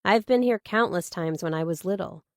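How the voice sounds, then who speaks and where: A woman's voice: lowish voice, normal speed, a little mellow, no room sound, lightly acted. Woman voicing a reserved, matter-of-fact young heroine. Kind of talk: audiobook character dialogue.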